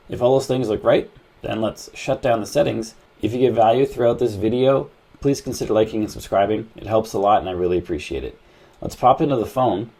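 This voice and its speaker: Low, gravelly man giving tutorial. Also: mellow. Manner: plain-spoken, instructional, conversational. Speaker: tech tutorial host. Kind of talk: casual step-by-step phone tutorial narration.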